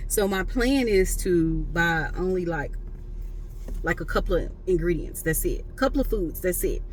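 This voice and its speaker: A woman's voice: fairly low pitch, fairly bright tone, a little hiss or hum. A woman in her late thirties, chatting casually and playfully to her viewers, slangy and loose. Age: late 30s. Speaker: vlogger. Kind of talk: casual talk to camera.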